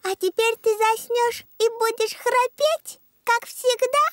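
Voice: very high-pitched, fairly even intonation, takes it slowly, a bit bright, no echo, exaggerated voice. A performer voicing an eager, childlike little pig girl in a children's cartoon dub.

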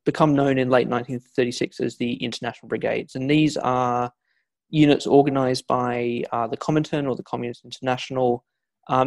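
A man's voice: mid-range voice, vocal fry, no room sound. A man who is a historian, giving long, discursive answers in an interview, with frequent hesitations and restarts. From not from Scotland; Scottish family. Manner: discursive, many fillers and restarts. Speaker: historian guest.

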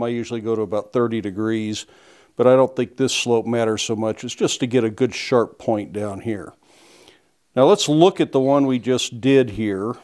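A man's voice: medium pitch, a little mellow. Middle-aged man explaining forge steps. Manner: plain, unhurried step-by-step explaining. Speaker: blacksmith instructor. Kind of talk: hands-on demonstration. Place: small workshop forge, indoors.

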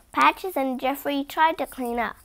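A young girl reading a storybook aloud with careful, expressive emphasis, a few stumbles and her own asides. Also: sits high. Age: child.

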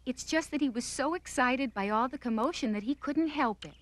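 A woman's voice: high-pitched, brisk, a bit bright, a little hiss or hum. A woman narrating a scripted children's cartoon story.

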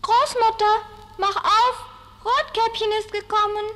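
A woman's voice: very high-pitched, steady tone, a little slow, fairly bright tone. An actress playing an innocent, polite little girl in a fairy-tale radio play. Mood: polite, curious. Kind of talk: 1970s German fairy-tale record acting. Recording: noisy old vinyl record.

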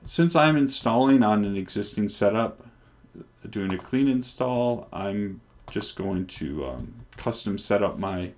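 Deep-voiced guy in his 40s on a headset, chatting loosely thru an install demo. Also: unhurried. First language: English. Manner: relaxed, rambling, many ums and restarts. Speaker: developer presenting hardware. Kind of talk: casual screen-recorded tech demo. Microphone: headset microphone.

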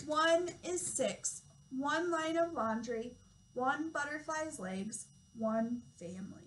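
A young woman with a high voice speaks as a toddler teacher doing a read-aloud. Her phrasing is simple and instructive, addressed to very young children. The classroom recording has noticeable background noise. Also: animated, takes it slowly, a bit bright, poor audio. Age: young adult. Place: small classroom, indoors.

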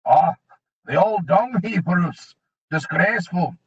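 A jittery dwarf thief, as a man puts him on for an audiobook, trying to smooth things over in a raised, husky voice. The recording is dull and lo-fi. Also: high voice, animated, slow, voice pushed up, full character voice. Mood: nervous. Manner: nervous, ingratiating. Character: nervous male dwarf thief, placating.

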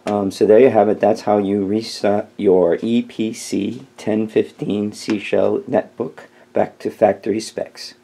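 A man's voice: fairly low pitch, flat delivery, a little slow, vocal fry, hardly any pauses, warm tone, husky. A man hosting a tutorial, talking through steps in a casual, informal how-to style. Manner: informal, step-by-step instruction. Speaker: tutorial host.

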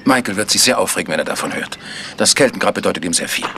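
An actor playing a protective, proud village organ teacher, earnest and measured, in a German radio mystery. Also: male voice, sits low, expressive, noisy background.

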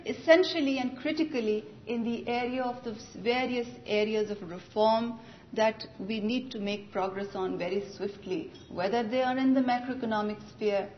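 A woman giving a measured, formal policy speech at a conference podium in a large hall. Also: a little high, highs cut off, slight background noise. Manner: measured, articulate, formal delivery with occasional restarts. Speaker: conference panelist. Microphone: podium microphone, recorded from the audience.

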